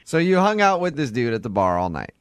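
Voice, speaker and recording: Guy doing casual radio host banter, really animated, kinda teasing, dry close studio sound. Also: medium pitch, brisk, smooth, dry recording.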